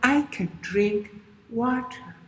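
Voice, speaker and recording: Lady voicing a plucky little girl, high eager voice, roomy hall. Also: slow pace, a bit bright. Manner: eager, reassuring. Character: brave hungry little girl. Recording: echoey hall from far off.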